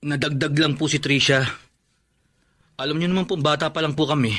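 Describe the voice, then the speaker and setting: A man's voice: on the high side, steady tone, fairly quick, a bit bright. A man acting a wronged but proud younger brother in a Filipino radio drama, earnest and emphatic. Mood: hurt, earnest. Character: wronged, proud younger half-brother, respectful to his mother.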